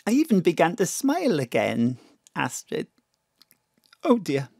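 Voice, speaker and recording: An actress playing a distraught bereaved mother in an audio drama, halting, stammering and pleading. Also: sits low, huge pitch swings, creaky, darkish tone, breathy edge, no echo, clean studio sound, full character voice. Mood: tearful, wistful.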